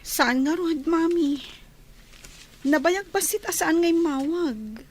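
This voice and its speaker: A woman's voice: extremely high pitch, slow pace, slight background noise. A woman playing a lovesick, wistful young daughter in radio drama, with an Ilocano accent. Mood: sad, wistful.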